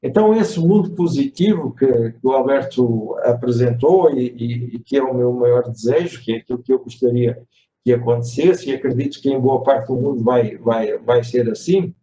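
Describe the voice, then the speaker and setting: A man from Portugal with a European Portuguese accent, moderating a webinar in a measured, reflective way, with hesitations and tag questions. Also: medium pitch, mellow. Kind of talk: webinar discussion. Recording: echoey room, distant mic, faint noise.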